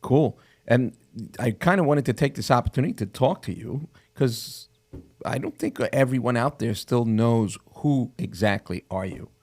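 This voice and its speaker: A man's voice: medium pitch, animated, moderate pace, darkish tone. A man hosting a real estate show as a casual interview, with a joking, conversational manner.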